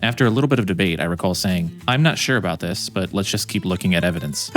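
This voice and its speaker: A man's voice: low-pitched, animated, quick pace. A man who works as a video editor narrates his own account in the first person, reflective and conflicted. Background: soft guitar music.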